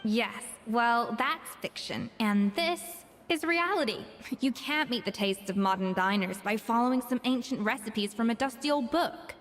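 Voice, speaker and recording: A woman's voice: fairly high pitch, normal speed, few pauses, big-room sound, slight background noise, close to an everyday voice. A woman voicing a composed female head chef who speaks in a matter-of-fact, dryly dismissive way in a game.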